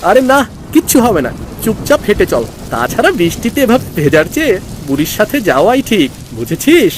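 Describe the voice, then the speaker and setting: A woman's voice: medium pitch, very animated, noisy background, close to an everyday voice. A woman voicing a protective, reassuring elder brother, a boy in a Bengali village cartoon. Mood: helpful. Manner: brisk, reassuring, mildly bossy. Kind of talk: Bengali cartoon story voice acting. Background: music and rain.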